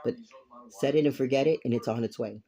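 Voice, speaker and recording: A man with a raspy voice giving a rambling, blunt tarot reading at a table, without a dedicated microphone. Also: medium pitch, a little fast, warm tone. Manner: rambling and blunt, with casual swearing. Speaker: tarot reader. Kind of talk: casual tarot reading to camera. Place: indoors at a table covered with cards.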